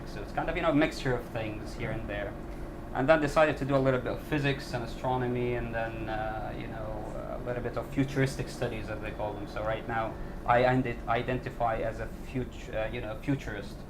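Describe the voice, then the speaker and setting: A man with a mid-pitched voice talks informally as a guest on a panel, wandering between points. The recording has clear background noise from a live event. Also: steady tone, a little fast. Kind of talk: informal personal account on a panel.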